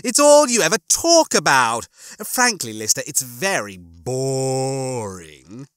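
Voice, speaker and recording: A man's voice: mid-range voice, sing-song, a bit bright, clean tone, no room sound, clean studio sound. A man voicing a smug, pompous, gloating hologram bunkmate in a theatrical, sneering way for a story reading. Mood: gleeful, pompous. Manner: theatrical, sneering, mock-cheerful, drawn-out words.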